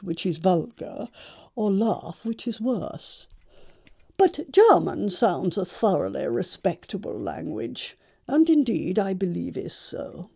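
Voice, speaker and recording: Actress as snobbish older English matriarch; mellow, creaky voice, pompous moral pronouncements, period stage comedy. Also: female voice, medium pitch, full character voice. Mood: gracious, stern. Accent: English upper-class. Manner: pompous, deliberate, pronouncing judgements. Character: imperious older aristocratic aunt and mother, snobbish, moralising. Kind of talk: stage comedy audiobook acting.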